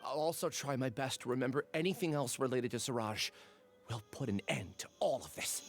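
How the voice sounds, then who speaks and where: A man's voice: medium pitch, a little fast, lightly acted. A man voicing an anxious, remorseful young man in an anime game, hesitant and earnest. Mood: nervous resolve. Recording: game music behind the voice.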